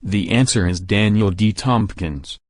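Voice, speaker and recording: A man's voice: on the low side, no echo. A man playing a kindly, self-assured old man who claims to be Santa Claus, in radio drama style. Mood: gently needling. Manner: unhurried, gently needling, cheerfully self-assured.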